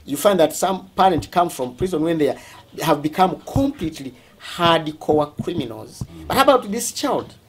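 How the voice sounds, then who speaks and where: A man with a Ugandan accent talks as a school representative on a tour. His voice sits a little high for a man, and he explains in a halting, deliberate way, rephrasing as he goes, with music playing behind him. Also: big pitch swings.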